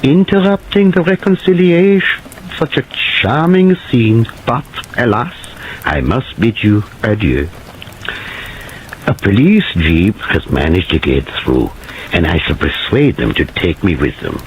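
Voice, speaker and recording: A man's voice: fairly low pitch, lively intonation, measured pace, slight background noise, pitched above the performer's own voice, poor audio. A man voicing a flamboyant, sly older man who talks in a florid, theatrical way.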